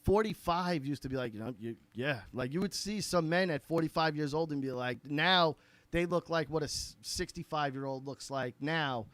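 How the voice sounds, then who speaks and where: Rambling man with a fairly high voice. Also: a bit bright, no echo. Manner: rambling, halting, many fillers. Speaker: guest, husband. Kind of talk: casual chat.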